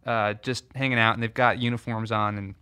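A man talking as a guest in a casual conversation, telling lively stories and acting out his own nervous reactions. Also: fairly low pitch, fairly quick, clean tone, dry recording. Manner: animated storyteller, acts out his own nervous voice. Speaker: podcast guest, author.

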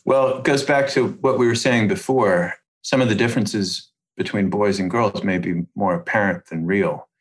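A man speaking as an expert interview guest, explaining in precise, measured academic phrases with deliberate pauses. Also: on the low side, steady tone, rough recording.

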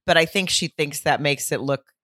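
A woman's voice: deep voice, normal speed, fairly bright tone, no echo, studio quality. A young adult woman with a Southern accent co-hosting a podcast, chatting candidly and laughing often. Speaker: podcast co-host. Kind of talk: casual recap chat.

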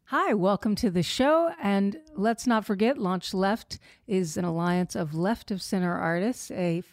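A woman's voice: mid-range voice, a little slow, no echo. A woman co-hosting a podcast in a studio, chatty and eager as she asks the guest questions. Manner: chatty, eager interviewer who reads the show intro. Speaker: podcast co-host. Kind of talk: casual podcast chat.

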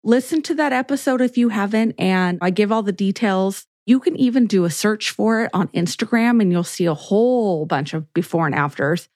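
A woman's voice: moderate pace, hardly any pauses, no room sound, clean studio sound. A woman hosting her own skincare podcast, talking casually to listeners and laughing often as she goes. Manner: chatty, laughs often, lively pitch swings. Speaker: podcast host, esthetician. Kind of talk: casual solo podcast chat.

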